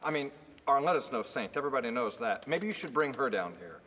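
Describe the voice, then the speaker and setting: Man playing a lively, defensive murder suspect. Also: medium pitch, fast, distant mic. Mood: impatient. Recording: echoing hall with long reverb.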